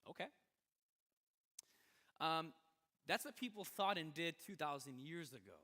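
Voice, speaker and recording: High, bright-voiced male preacher in a hall. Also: expressive, measured pace. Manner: conversational and emphatic, with rhetorical questions. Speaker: pastor. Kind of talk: sermon. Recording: reverberant hall.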